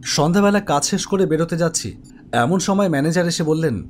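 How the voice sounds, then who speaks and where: A man's voice: a little high, lively intonation, fairly quick, slight background noise, natural voice. A man playing an office web developer who narrates his own ghost story in a dramatic, suspenseful way. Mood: reflective narrating. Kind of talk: scripted first-person horror story narration. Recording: music behind the voice.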